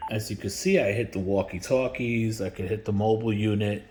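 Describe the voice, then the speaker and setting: A man with a fairly low voice walks the listener through a product as a company representative. His delivery is plain-spoken and explanatory, in the style of an informal demonstration with a sales pitch. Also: fairly even intonation, fairly quick, very noisy.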